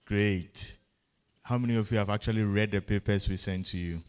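A man with a fairly low voice gives brisk announcements to an audience. It rings with a long hall reverb, and faint noise sits behind it. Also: fairly even intonation. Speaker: event moderator. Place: large lecture hall.

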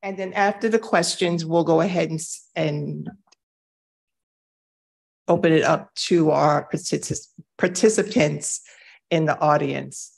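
A middle-aged woman moderating a reading circle, speaking slowly and measuredly with self-deprecating asides, filmed from afar in a bright gallery room. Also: sits low, gravelly. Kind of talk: live event introduction and moderated conversation. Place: bright wooden-floored gallery room, indoors.

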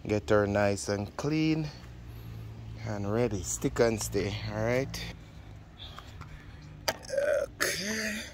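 A man with a Jamaican accent casually narrating his chores to viewers outdoors, in short, repetitive phrases. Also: fairly low pitch. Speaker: vlogger. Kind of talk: casual vlog narration while doing chores. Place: outdoors in a backyard by a pool. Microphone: phone camera, often at a distance. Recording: very noisy outdoor phone audio. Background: loud outdoor ambient noise.

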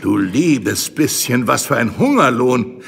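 A man playing a jovial, effusive, very fat gentleman in his fifties in a German audio drama, gushing and patronising with theatrical delivery. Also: medium pitch, big pitch swings, takes it slowly, creaky, breathy edge, long reverb tail, full character voice. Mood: jovial, persuasive.

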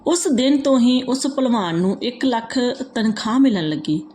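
A woman reading out a moral folk tale as a storyteller, in a steady, even manner. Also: on the high side, moderate pace.

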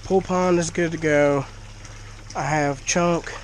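Congested man, slow drawl, slightly high voice, faint background hum, body-worn camera mic. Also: fairly even intonation, poor audio. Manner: folksy, chatty. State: congested, talking through a blocked nose. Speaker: fish-keeping vlogger. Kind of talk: casual vlog to camera. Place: dim cinder-block garage or shed, indoors. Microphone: action camera worn by the speaker.

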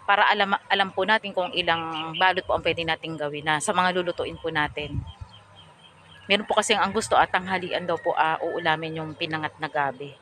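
A middle-aged woman chats her way through a walking vlog outdoors, polite and a little rambling with lots of fillers. Her voice is bright and forward. It is picked up by a phone held out on a selfie stick in an open field. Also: low voice, steady tone, fairly quick, a little hiss or hum. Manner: polite, chatty, frequent fillers. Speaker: vlogger. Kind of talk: casual walking vlog narration.

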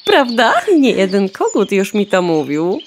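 Casting: vain, dim young hen in a children's audio play, flippant, lively intonation, faint birdsong behind. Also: female voice, medium pitch, a little slow, a little hiss or hum. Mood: self-satisfied. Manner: flippant, nonchalant, cheeky. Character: vain, cheeky, dim young hen, breezily self-absorbed. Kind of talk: children's radio play acting.

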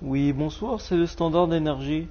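A man's voice: on the high side, moderate pace. Cheeky Frenchman, dull lo-fi audio. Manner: cheeky, slangy. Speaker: prank caller and live streamer. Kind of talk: casual prank-call banter over gameplay. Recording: dull lo-fi live stream audio.

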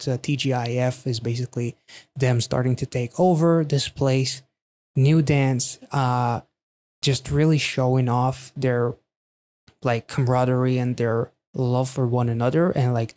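A man in his thirties giving casual reaction commentary in a halting, thinking-aloud way, close on a studio microphone. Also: mid-range voice, dark tone. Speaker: reaction video host. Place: small quiet indoor home studio.

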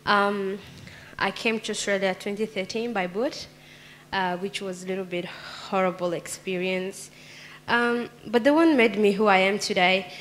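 A young woman from Somalia, a native Somali speaker, reading her spoken word poetry on a theatre stage into a handheld mic in an earnest, measured way. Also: mid-range voice, fairly bright tone, slight background noise. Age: young adult. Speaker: poet.

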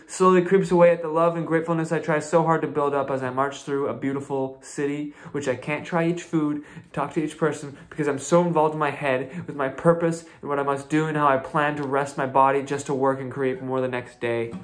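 A man in his twenties improvising a searching, intense spoken-word monologue in a small bedroom, recorded on a close laptop mic. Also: fairly high pitch. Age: 20s. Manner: searching, intense, rising into emphatic outbursts. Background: acoustic guitar strumming.

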